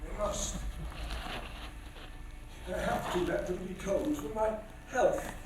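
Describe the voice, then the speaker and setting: An actor playing an anxious, haunted older gentleman in a stage drama, stiff, formal, earnest and hesitant in his speech. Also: high-pitched, takes it slowly. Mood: anguished, hesitant. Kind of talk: theatrical stage acting. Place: theatre stage in live production. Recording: echoey theatre stage, distant mic, faint hiss.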